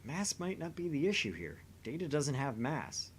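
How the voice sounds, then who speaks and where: A man's voice: a little high, big pitch swings, moderate pace, a little hiss or hum. A man doing an acted reading of dialogue and narration from a visual novel game. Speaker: voice reader in a visual novel playthrough.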